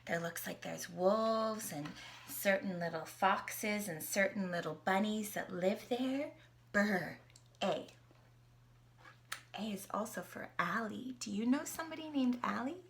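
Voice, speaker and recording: A woman's voice: mid-range voice, takes it slowly, gravelly, bright, forceful tone. A woman from Canada reading a picture book aloud to a young child, asking questions and gasping at the pictures. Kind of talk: children's story reading with sing-along.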